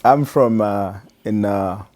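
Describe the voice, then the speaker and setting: Slow, low-voiced Tanzanian pastor. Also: male voice, darkish tone. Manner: hesitant, many fillers. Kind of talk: personal interview, telling life story.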